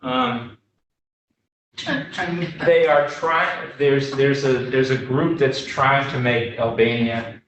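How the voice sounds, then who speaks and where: An older American man giving an informal lecture, telling anecdotes in a halting, self-deprecating way. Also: mid-range voice, fairly even intonation, a bit bright, lo-fi sound. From Boston, United States. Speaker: guest lecturer, development consultant. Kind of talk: informal slide lecture with audience questions. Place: echoey meeting room before a small audience. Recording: very echoey room, distant microphone.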